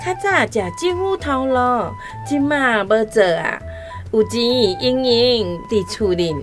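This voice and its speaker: An actress with a Taiwanese accent playing a smooth-talking, boastful village matchmaker in a radio drama, coaxing and glib. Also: female voice, fairly high pitch, animated, slow. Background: instrumental music.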